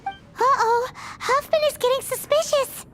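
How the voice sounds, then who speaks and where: A woman voicing a tiny, excitable, cheeky fairy companion in an anime-style video game, squeaky and childlike, exclaiming dramatically. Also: unhurried, heavy background noise. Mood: panicked. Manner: exclaiming, speaks of herself in third person.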